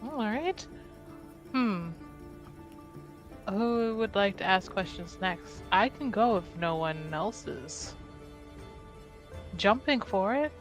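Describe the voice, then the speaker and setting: A woman speaking slowly and carefully, roleplaying her character at a tabletop game. She hesitates between phrases as she asks her questions. Quiet music sits in the background. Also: mid-range voice, animated. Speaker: player.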